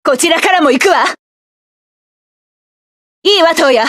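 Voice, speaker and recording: A woman's voice: very high-pitched, measured pace, dry recording. A woman voicing a proud, commanding adult mecha pilot in a game, barking orders and sneering at enemies. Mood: fierce battle. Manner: sharp commanding battle shouts, cool haughty taunts. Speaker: voice actress for a game character. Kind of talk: game battle voice lines.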